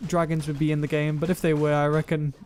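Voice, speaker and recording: A young man with a self-described monotone voice, rambling through gameplay commentary and viewer comments, often correcting himself mid-sentence. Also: a little high, fast talker, slight background noise. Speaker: gaming YouTuber.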